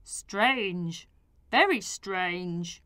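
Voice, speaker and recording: Lady reading a kindly storybook man, murmurs slow and thoughtful, dry close sound. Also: a little high, expressive, sharp tone, no echo. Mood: puzzled. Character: kindly grown man who puzzles things out.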